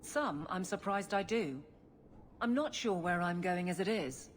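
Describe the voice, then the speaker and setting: A woman's voice: fairly low pitch, lively intonation, a little slow. A woman playing a stern, practical warrior in a video game, guarded, blunt and earnest. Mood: frustrated, earnest. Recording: faint background ambience noise.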